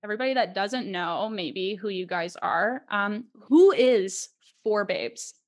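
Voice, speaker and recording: A woman's voice: mid-range voice, expressive, a little slow. A woman interviewing guests on a podcast, encouraging and friendly.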